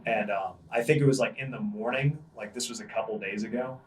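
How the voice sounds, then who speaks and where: Young male student co-host, halting storyteller, lowish voice, distant mic with faint background noise. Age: young adult. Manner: halting, many "um" and "you know". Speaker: podcast co-host, university student. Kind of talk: casual chat.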